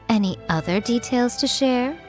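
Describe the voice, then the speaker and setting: An actress playing a sly, elegant, teasing fox shrine maiden in a video game, speaking with coy, poised amusement. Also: female voice, fairly high pitch, darkish tone. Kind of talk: English video game voice acting.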